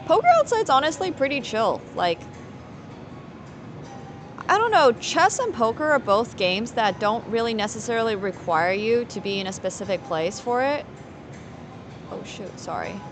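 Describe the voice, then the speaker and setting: A woman's voice: fairly high pitch, animated, normal speed, long pauses, music in the background. A woman from Finland live streaming casually, thinking aloud in rambling fragments as she plays and chats with viewers. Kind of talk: casual chat while playing poker, answering viewers.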